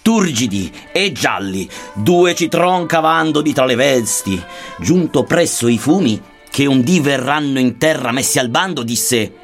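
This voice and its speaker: A man's voice: mid-range voice, lively intonation, measured pace. Theatrical man as poet pilgrim, swooping delivery over music. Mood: wondering. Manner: theatrical, declamatory, archaic rhymed delivery. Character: awed male poet pilgrim recounting a journey through hell. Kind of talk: dramatic verse narration. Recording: music behind the voice.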